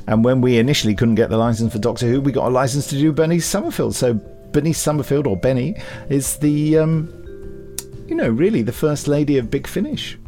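A British man co-hosts a chatty podcast with faint music behind him. He speaks at a medium pitch, sounds keen on his subject and drops in dry, teasing asides. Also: lively intonation, fairly quick, mellow. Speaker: podcast co-host.

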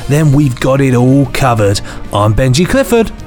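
A man's voice: medium pitch, big pitch swings, normal speed, darkish tone. A British man co-hosting a podcast in casual, jokey, rambling banter. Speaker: podcast co-host. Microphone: headphones on, podcast microphone. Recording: music bed under the voice.

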